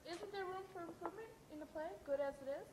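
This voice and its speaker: A woman's voice: extremely high pitch, fast talker. A woman playing a devoted, anxious wife in an amateur stage play, sweetly earnest. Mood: coaxing. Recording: echoey, noisy stage recording.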